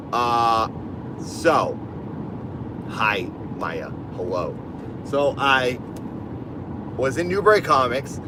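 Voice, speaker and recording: A man with a lisp chatting casually on a livestream from his car while driving, rambling and reading out donations. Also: mid-range voice. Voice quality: lisp on S sounds. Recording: car road noise behind.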